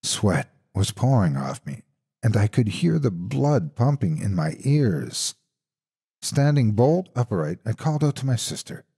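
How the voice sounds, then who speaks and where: A male horror narrator with a husky, dark voice. He delivers scripted tales deliberately and with suspense, and his intonation swings expressively. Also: fairly low pitch, sing-song. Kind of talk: dramatic scripted storytelling narration.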